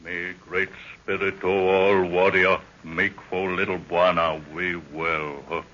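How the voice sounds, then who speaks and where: Deep, slow loyal warrior servant. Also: male voice, level tone, bright, forceful tone, full character voice. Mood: earnest, loyal. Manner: stylized broken English, blunt short phrases. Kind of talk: 1930s radio serial acting. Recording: muffled, noisy 1930s radio recording.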